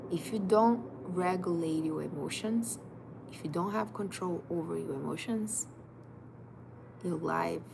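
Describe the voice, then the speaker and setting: Woman in her thirties, life coach, emphatic and direct, lowish gravelly voice. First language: Portuguese. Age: 30s. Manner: emphatic, direct, repetitive second-person urging. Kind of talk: motivational livestream talk. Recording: noisy background.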